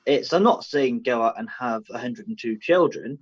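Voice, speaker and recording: A man's voice: mid-range voice, lively intonation, moderate pace. A young man on a panel in an online discussion, thinking aloud tentatively and often starting with "I feel". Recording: dull lo-fi audio.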